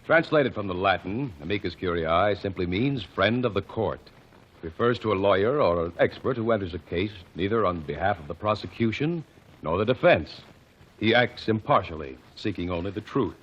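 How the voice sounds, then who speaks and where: A man playing a calm, impartial courtroom lawyer in a 1940s radio drama, formal and measured. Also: sits low, husky. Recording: dull old radio broadcast.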